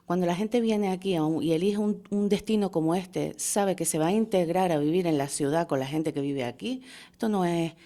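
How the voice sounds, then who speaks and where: A woman's voice: on the low side, brisk, warm tone. A woman from the Canary Islands, speaking as a guest in an earnest, agreeable way. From Santa Cruz de Tenerife. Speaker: guest, tourism official. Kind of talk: conversational interview.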